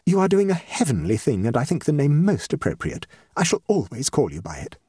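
A man's voice: medium pitch, huge pitch swings, fairly quick, dark tone, slightly rough, dry recording, clean studio sound, voice pushed up. A man voicing a middle-aged society hostess, an anxious matchmaking mother with an emphatic, effusive manner, in a comic story. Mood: grateful, effusive. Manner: emphatic, effusive, self-dramatizing. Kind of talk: comic short story reading.

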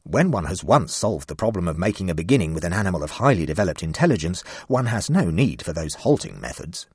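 A self-satisfied scientist lectures with patient condescension, as a man reads him. The voice is husky and close, rising a little above the narration. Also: sits low, big pitch swings, quick pace, no echo, exaggerated voice. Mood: condescending. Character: smug male scientist, patient and triumphant.

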